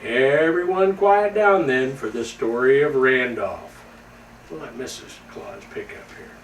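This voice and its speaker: A man playing Santa Claus, a jolly old man reading a storybook to children in a folksy, slightly halting way. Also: medium pitch, lightly acted. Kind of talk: storybook read-aloud to children. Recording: roomy, distant microphone with faint background noise.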